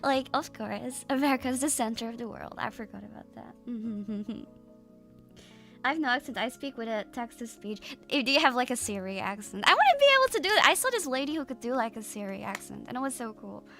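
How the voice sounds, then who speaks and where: Bright high-voiced woman streamer. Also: lively intonation, a little fast, vocal fry, faint music behind. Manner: chatty, laughs often, swears casually. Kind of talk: casual livestream chat with viewers.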